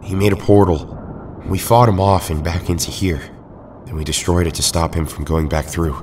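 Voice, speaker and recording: A man acting as an earnest, steady hero in an audio drama. His voice is deep and his delivery is measured and serious. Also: a little hiss or hum, close to an everyday voice. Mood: earnest, resolute. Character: Tommy, steady, earnest male Power Ranger hero from another world.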